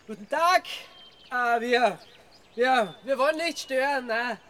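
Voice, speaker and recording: A man's voice: very high voice, slow pace, sharp tone, slight background noise, full character voice. An actor playing an awkward, sheepish man making stammered excuses in a radio drama.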